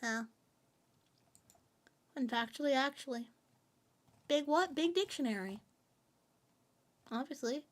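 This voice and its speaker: A woman's voice: high voice, slow pace, pauses often. A woman streamer chatting casually with her viewers, rambling and joking in a playful, sing-song way. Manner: rambling and jokey, reading chat aloud.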